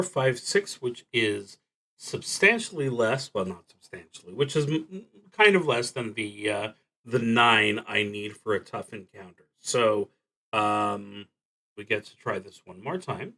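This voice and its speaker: Male solo RPG streamer, medium pitch, very unhurried, halting and thoughtful, improvised commentary. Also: animated, pushed and bright, low-quality recording. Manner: hesitant, halting, reflective. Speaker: streamer playing solo tabletop roleplaying games. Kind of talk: unscripted play-through commentary, thinking aloud.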